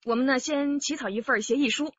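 一位女演员在广播剧中扮演精明的年轻上海妻子，也是劫案受害者，声音带气声。. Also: female voice, high-pitched, brisk, breathy edge, dry recording, dull audio. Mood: polite, shrewd. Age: young adult. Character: shrewd young Shanghai wife, robbery victim. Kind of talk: radio drama acting.

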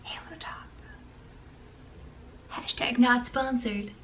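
A woman's voice: on the high side, steady tone, takes it slowly, a bit bright, whispered. A young woman chatting casually to the camera in her bedroom, with a crackly voice, eating while she talks. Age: young adult. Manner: chatty, eating ice cream while talking. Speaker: vlogger. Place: small bedroom, indoors.

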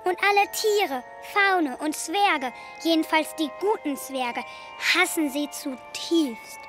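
A very high, childlike girl's voice in an audio drama. She is earnest and excitable, insisting on what she says. Music plays clearly underneath. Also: slow pace. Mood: earnest, grave. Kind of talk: German audio drama acting.

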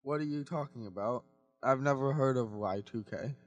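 A man's voice: medium pitch, normal speed, no echo, dull audio, soft background music, natural voice. A young man playing a suspicious, blaming friend in a student radio drama. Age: young adult.

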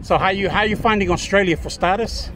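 A man's voice: high-pitched, fairly quick, crowd noise. A man chatting casually and slangily to viewers and passers-by as a friendly vlogger outdoors. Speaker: vlogger and street interviewer. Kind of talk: casual vlog chat and street interviews. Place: outdoors at a busy beach promenade.

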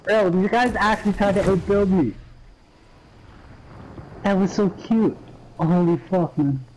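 A man streaming a shooter game, cursing and trash-talking excitedly in casual voice chat with his squad. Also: high-pitched, unhurried, a little hiss or hum, poor audio. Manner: crude, profane, excitable trash talk.

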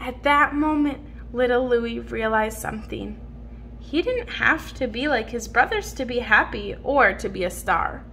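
A woman with a high voice reads a storybook aloud to a small child. She goes slowly and expressively, stretching words for a young listener. The home phone recording has some faint noise. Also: expressive, creaky. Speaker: picture book reader to a small child. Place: indoors at home on a dark wood floor.

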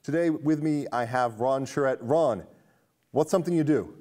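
A man's voice: medium pitch, normal speed, mellow. A man around his forties, hosting a casual film chat in an indoor studio. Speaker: talk show host. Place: indoor studio set with curtains.

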